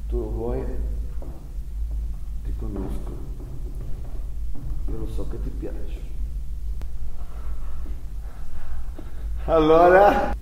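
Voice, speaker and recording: A man acting in a staged play reading as an agitated, bitter young son of an exiled Nazi, speaking in a halting, sardonic way. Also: fairly high pitch. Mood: taunting. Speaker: stage actor. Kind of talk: live staged reading of a play, theatrical monologue and dialogue. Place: theatre stage, recorded from a distance. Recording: very echoey stage, distant mic, faint noise.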